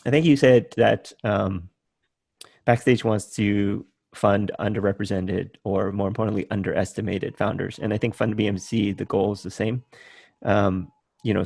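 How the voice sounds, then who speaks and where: A man in his forties, born in Vietnam, hosting a casual interview over a video call, relaxed and thinking aloud. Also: lowish voice, creaky, breathy edge, dry recording. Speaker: podcast host.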